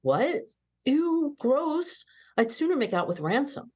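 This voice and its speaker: A woman's voice: high voice, big pitch swings, takes it slowly, a little mellow, heavily acted. A woman reading the part of a blunt, sarcastic young female starship officer in a comedic table read. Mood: indignant. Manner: sarcastic, exclamatory.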